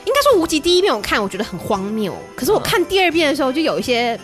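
A woman with a Taiwanese Mandarin accent, co-hosting a casual podcast in a playful, exclamatory way and quick to laugh. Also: sits high, big pitch swings, faint music behind. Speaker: podcast co-host. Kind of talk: casual banter.

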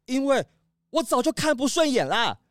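Earnest young male lemur, animated. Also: high-pitched, moderate pace, no room sound, studio quality, soft background music. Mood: indignant, heartfelt. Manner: sincere, heartfelt. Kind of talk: children's audio drama acting.

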